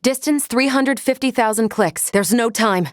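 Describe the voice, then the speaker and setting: A woman playing a polite, earnest female android in a video game, speaking in formal, precise, measured phrases. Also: a little high, dry recording, clean studio sound, close to an everyday voice. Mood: urgent, alert.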